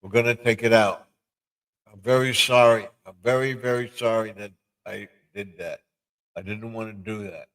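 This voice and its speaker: A man with a fairly low voice hosts a casual livestream. He talks in short, halting phrases and often repeats himself. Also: fairly even intonation, a bit bright. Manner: repetitive, halting. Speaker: livestream host.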